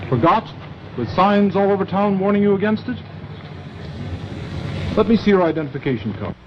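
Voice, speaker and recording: Officious uniformed cop, raised commanding voice, dull vintage film sound. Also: male voice, high voice, expressive, moderate pace. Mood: stern, scolding. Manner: barking, scolding, commanding. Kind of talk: 1940s training film acting. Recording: muffled vintage film sound, loud noise.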